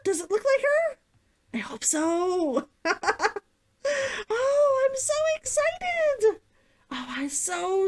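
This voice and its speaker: A woman hosting a read-along stream, talking to viewers and reading a story as an excitable vtuber. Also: very high-pitched. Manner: giddy, giggly, gushing and repetitive while chatting.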